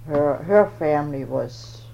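Older lady, low mellow voice, slow halting talk, dull hissy old tape. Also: expressive, gravelly. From Denmark. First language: Danish. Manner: halting, searches for words. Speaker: interviewee. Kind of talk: oral history interview.

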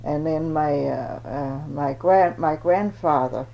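Older woman, halting. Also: sits low, creaky. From Denmark. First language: Danish. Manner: halting, searches for words. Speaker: interviewee. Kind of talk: oral history interview. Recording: dull old tape with faint noise.

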